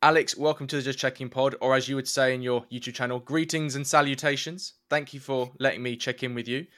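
A man's voice: medium pitch, steady tone, fast, fairly bright tone, soft background music. A man hosting a podcast interview, reading a partly scripted introduction and asking friendly questions, calling his guest mate. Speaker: podcast host.

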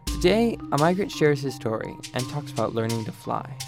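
A man hosting a podcast, reading scripted narration in a clear, measured way. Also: mid-range voice, sing-song, over music. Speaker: podcast host.

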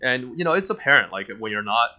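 A man from China whose first language is Mandarin, a game studio owner chatting as a podcast guest, halting with many fillers and restarts. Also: mid-range voice, animated, pushed and bright, dull audio. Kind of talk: casual interview chat.

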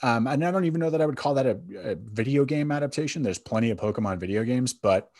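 A man's voice: medium pitch, expressive, quick pace, darkish tone, dry recording, studio quality. A man co-hosting a podcast, casually discussing films in an opinionated, conversational way. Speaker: podcast co-host.

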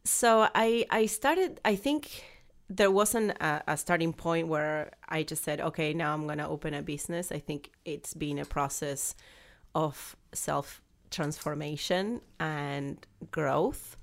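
A woman in her forties with an Argentine Spanish accent, a podcast guest who speaks haltingly, with many restarts, fillers and small laughs. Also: sits low. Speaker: guest, business founder. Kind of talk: conversational interview.

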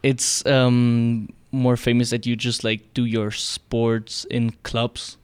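A man's voice: medium pitch, level tone, slow, clear, resonant tone, dry recording. A teenage male exchange student from Germany with a German accent, casually answering interview questions. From Cologne, Germany. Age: teens.

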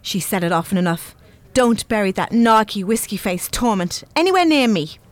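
An actress playing a brisk, stingy, scornful grown daughter in a radio play, with an Irish accent and an emphatic delivery. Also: female voice, mid-range voice, lively intonation, dry recording, close to an everyday voice.

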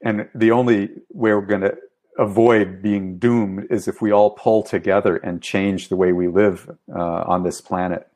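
Man, middle-aged, professor, lowish voice, measured, slight stammer. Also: steady tone, a little mellow. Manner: measured, deliberate with some stammering. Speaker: guest professor. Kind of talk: interview answers.

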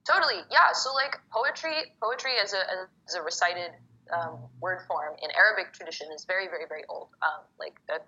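Young woman, bright forceful voice, husky edge, rapid chatty talk full of fillers, muffled call audio. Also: lowish voice. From Egypt. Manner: fast, chatty, many fillers like "like". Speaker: poet guest. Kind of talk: casual conversation.

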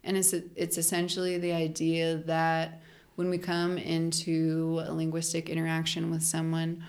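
A woman's voice: sits low, completely flat delivery, measured pace, a little mellow. A young adult woman, a linguist guest on a podcast, talking casually and explaining ideas with lots of "like" fillers. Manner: chatty, frequent "like" fillers, laughs while explaining.

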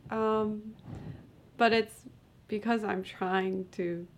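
A woman's voice: medium pitch, steady tone, very slow. A woman interviewing a guest in a casual chat, speaking in a hesitant, thoughtful way.

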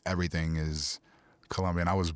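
A man who grew up in New York speaking Spanish first talks as a musician guest in an interview, halting and full of fillers. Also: low-pitched, no room sound. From Queens, New York City, US. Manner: relaxed, halting, full of fillers like "you know" and "um". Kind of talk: casual interview talk.

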